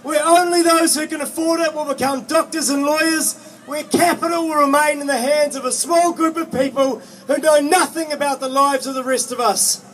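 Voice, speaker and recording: Young male student activist, emphatic rally oratory, strikingly high pitch, distant outdoor recording. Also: steady tone, moderate pace, a bit bright. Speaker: student movement speaker, postgraduate student. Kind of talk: prepared political rally speech. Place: outdoors at a street march. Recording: distant outdoor capture with faint noise.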